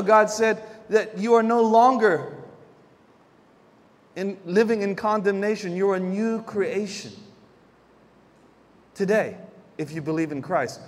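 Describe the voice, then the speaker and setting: Guy preaching, high voice, chatty and storytelling, lots of restarts, long church hall echo. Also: a little slow, long pauses. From Philippines. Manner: conversational preaching with homely stories and frequent restarts. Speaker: pastor. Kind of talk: sermon.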